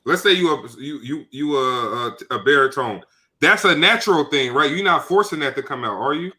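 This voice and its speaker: A man's voice: a little high, expressive, brisk, pushed and bright. A young man co-hosting a podcast, talking loosely with slang and lots of restarts. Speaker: podcast co-host. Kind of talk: casual chat.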